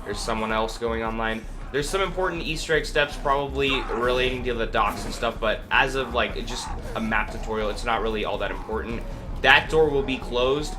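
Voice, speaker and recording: A man's voice: mid-range voice, normal speed, music in the background. A man with a cold, congested and sniffling, hosting a casual gameplay walkthrough in a rambling, hesitant way full of fillers. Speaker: gaming video host. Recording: clear background noise.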